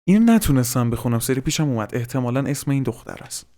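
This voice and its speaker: A man's voice: medium pitch, sing-song, mellow. A man gives casual reaction commentary as a host, swearing informally and dropping in English slang.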